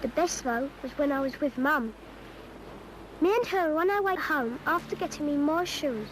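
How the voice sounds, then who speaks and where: High-pitched cheeky English child. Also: female voice, animated, normal speed, slight background noise, rough recording. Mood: wry, chatty. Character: young British schoolchild, cheeky, exasperated by grown-ups. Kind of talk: informal first-person narration in a public information film.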